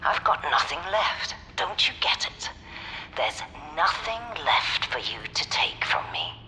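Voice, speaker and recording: A bitter man with nothing left, strained and defiant. His voice is very sharp and gravelly, delivered as game drama in a reverberant space. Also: a little high, very animated, measured pace, full character voice. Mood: bitter, desperate. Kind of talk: dramatic video game acting. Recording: reverberant hall, faint noise.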